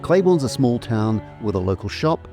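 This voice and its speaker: A man's voice: medium pitch, big pitch swings, normal speed, mellow. A middle-aged or older man presenting a scripted trailer as its narrator. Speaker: presenter and remastering producer. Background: faint music.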